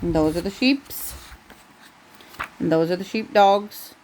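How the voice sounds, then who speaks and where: A woman's voice: mid-range voice, slow, darkish tone, slight background noise, rough recording. A woman reading a bedtime story aloud to a child, with casual asides along the way.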